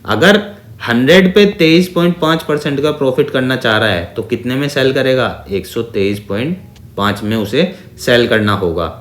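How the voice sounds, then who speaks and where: A man's voice: mid-range voice, animated, moderate pace, fairly bright tone. An Indian man teaching an exam-prep maths lesson step by step in a didactic way, mixing Hindi with English terms and often asking check-in questions. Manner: didactic, with frequent check-in questions and repeated phrases. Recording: echoey, reverberant room sound.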